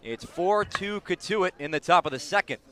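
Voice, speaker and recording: A man's voice: sits high, normal speed. A man calling baseball play-by-play for live radio, describing each moment in detail and rising with the action. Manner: descriptive, rising excitedly on big plays. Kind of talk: live radio sports commentary.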